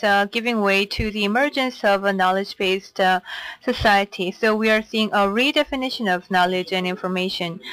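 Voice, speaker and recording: Smooth-voiced woman interpreter. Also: medium pitch, no room sound, poor audio. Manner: steady, formal, with frequent um and uh fillers. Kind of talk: simultaneous interpretation of a conference talk.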